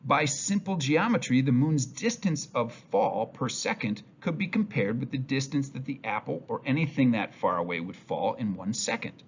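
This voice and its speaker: A man reading a textbook aloud as a teacher, deliberate and emphatic, with occasional stumbles and a husky voice. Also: mid-range voice, animated. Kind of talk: read-aloud lesson with brief asides. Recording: dull lo-fi sound.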